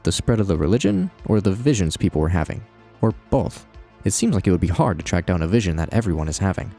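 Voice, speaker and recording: A man with a low voice narrates a video essay as its host. His commentary is conversational and animated, with wry asides, over music.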